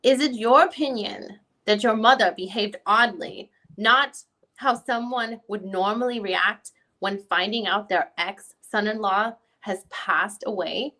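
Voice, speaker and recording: A woman's voice: mid-range voice, a little slow, pushed and bright, low-quality recording. A woman reading the part of a probing lawyer questioning a witness at a deposition. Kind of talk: dramatic reading of legal questioning.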